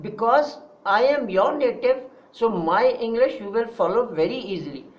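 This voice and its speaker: A man's voice: very high voice, expressive, normal speed. Earnest Pakistani man teaching English. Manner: earnest, repetitive, addresses listeners directly. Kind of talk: online spoken English lesson. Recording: dull lo-fi recording.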